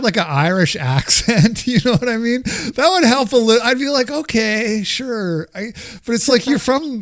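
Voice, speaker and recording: A man's voice: high voice, dry recording, studio quality. A man hosting a podcast, talking in an easygoing, hesitant way with many fillers. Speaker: podcast host. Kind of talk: casual chat.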